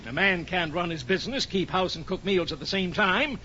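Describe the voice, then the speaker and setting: An actor playing a pompous, lazy, middle-aged husband in a 1940s radio comedy, hemming and hawing in a self-satisfied way. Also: male voice, a little fast, sharp tone. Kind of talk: 1940s radio sitcom acting. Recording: dull 1940s radio recording, faint rumbly noise.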